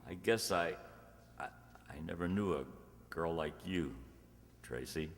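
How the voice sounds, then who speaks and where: Radio play role: male actor as tipsy sardonic suitor, low halting voice, echoing hall. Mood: tipsy, tender. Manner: halting, tipsy stammer with repeated words. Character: tipsy sardonic man wooing a bride-to-be. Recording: echoey hall, long reverb.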